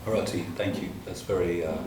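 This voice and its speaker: A man moderating a panel as facilitator, relaxed and playful. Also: sits low, fairly quick. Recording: echoey room, mic far off, clear noise.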